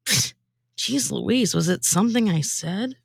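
A woman with a theatrical, animated delivery, moving between comic storytelling and casual podcast chat. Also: mid-range voice, unhurried, creaky, slightly rough, dry recording, studio quality. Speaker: podcast former co-host and guest performer.

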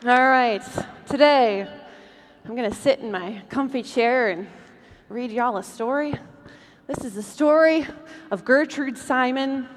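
A woman's voice: sits high, unhurried, slight background noise. A woman co-hosting a live stage show, presenting trivia and stories to an audience. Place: large convention hall stage, over PA. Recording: hall reverb, faint music, live stage.

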